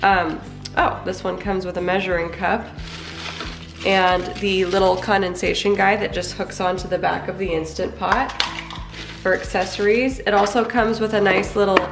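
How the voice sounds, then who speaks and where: Casting note: excitable woman vlogger with a head cold, gravelly fry, recorded off-mic in an echoey kitchen. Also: mid-range voice, normal speed, recorded from a distance. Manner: chatty and excitable, narrating as she unpacks. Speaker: home cooking vlogger. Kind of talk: casual unboxing vlog. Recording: echoey room, off-mic, music underneath.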